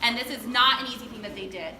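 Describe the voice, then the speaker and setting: A woman's voice: fairly high pitch, fast, sharp tone. Female drama club teacher presenting awards: gushing, chatty, informal, picked up from far off in a reverberant room. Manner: enthusiastic, gushing, colloquial. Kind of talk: informal award speech to parents. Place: indoor performance space before an audience. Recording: echoey room, distant noisy mic.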